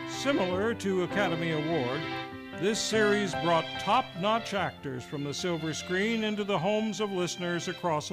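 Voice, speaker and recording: A man hosting in a grand, florid, old-time radio announcer style. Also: sits high. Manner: grand, florid showman delivery. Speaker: series host, announcer. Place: radio show performed live in a theater. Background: music.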